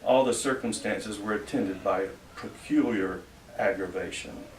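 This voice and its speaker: A man's voice: on the low side, a little slow, echoey room. Male live reader, formal and theatrical letter reading, distant mic with faint background noise. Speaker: reader at a library event. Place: library reading room at a public event.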